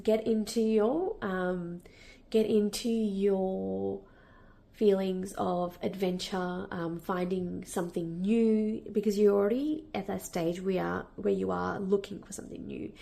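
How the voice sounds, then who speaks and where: Woman tarot reader, nasal and croaky from a cold, gravelly, gently reassuring. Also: medium pitch. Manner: warm, reassuring, with many fillers and restarts. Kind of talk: casual spoken tarot reading.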